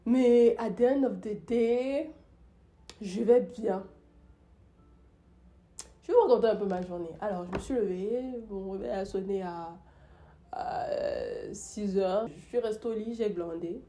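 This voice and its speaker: A woman's voice: mid-range voice, very animated, unhurried, pauses often, mellow. A young woman with the flu tells a story about her day in a casual vlog, with many fillers and restarts. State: sick with the flu. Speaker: YouTuber.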